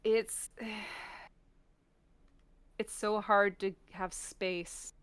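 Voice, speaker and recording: A woman's voice: medium pitch, fairly even intonation, drawn-out words, a bit bright, clear, resonant tone, no room sound. An American woman chatting casually and expressively as a guest on a stream, acting out bits of what she quotes. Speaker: voice actor and performer, guest on a stream. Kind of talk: casual, animated chat.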